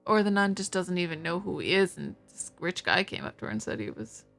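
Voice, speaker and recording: A woman's voice: on the low side, very animated, brisk, vocal fry, a bit bright. A woman co-hosting a game playthrough with casual, wry, joking commentary. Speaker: playthrough co-host. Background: music.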